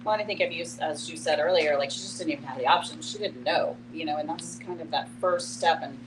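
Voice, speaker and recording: A woman's voice: low-pitched, quick pace. A woman moderating a casual group discussion in a conversational way, repeating words for emphasis. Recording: clear background noise and hum.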